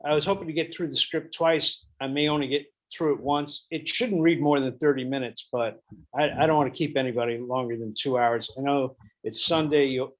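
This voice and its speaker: A man's voice: mid-range voice, steady tone, fairly quick. A man organizing a table read over a video call, speaking in a considerate, practical way. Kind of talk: casual chat on a video call.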